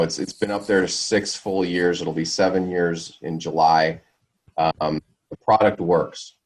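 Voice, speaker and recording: A man giving an informal product presentation on a conference call in a halting, hedging way. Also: deep voice.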